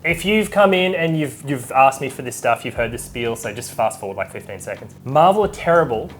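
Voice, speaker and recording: A man co-hosting a casual chat, talking in a playful, chatty way, his voice rough from a cold. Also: mid-range voice, expressive, brisk, soft background music. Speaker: co-host.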